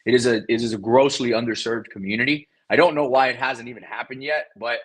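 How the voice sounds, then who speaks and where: A man whose first language is Spanish, speaking in a casual interview as a guest, energetic and talkative, often repeating words as he restarts. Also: medium pitch, fast talker. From South Florida. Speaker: guest, real estate investor.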